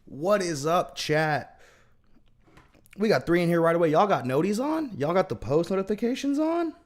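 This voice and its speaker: A man's voice: sits high, very animated, moderate pace. A young man casually chatting on a livestream. He greets viewers by name and thinks aloud with plenty of fillers. His speech sounds a bit off from the teeth whitening strips he has in. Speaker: trading livestreamer.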